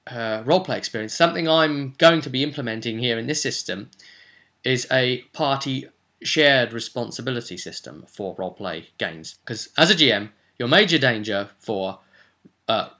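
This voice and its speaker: Guy with a bright forceful voice, chatty game master explaining stuff, asks loads of rhetorical questions. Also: medium pitch, expressive, normal speed. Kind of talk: informal tabletop gaming advice monologue.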